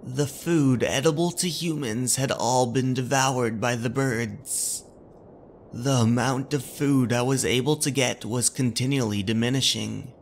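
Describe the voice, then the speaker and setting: A man narrating in a measured, deliberate way, as the host of a horror story channel. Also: medium pitch, slight background noise.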